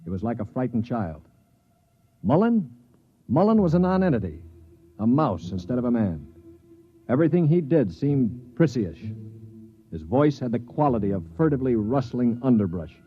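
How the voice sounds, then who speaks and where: An actor playing a blunt, cynical Earthman prisoner who narrates a 1950s science fiction radio play in a sardonic, forceful manner. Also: mid-range voice, very animated, moderate pace, long pauses, faint music behind, lightly acted. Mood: grim narration. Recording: muffled 1950s radio recording.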